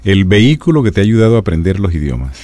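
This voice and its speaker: A man's voice: low voice, very animated, quick pace, dark tone, no echo. A man interviewing his guest, prompting her with short questions and affirmations.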